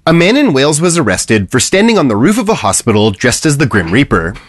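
A man with a Canadian accent reading a news report in a brisk, matter-of-fact way. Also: mid-range voice, sing-song, no room sound. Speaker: news clip reader.

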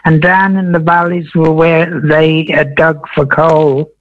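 An elderly man in his eighties from Wales recalls his life at a leisurely, unhurried pace, pausing often with ums. His voice sits a touch high for a man. The sound is dry and a little dull, like a radio studio feed. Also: flat delivery, no room sound. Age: 80s. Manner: slow, meandering, with many ums. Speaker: guest, veteran entertainer. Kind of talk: relaxed radio interview storytelling.

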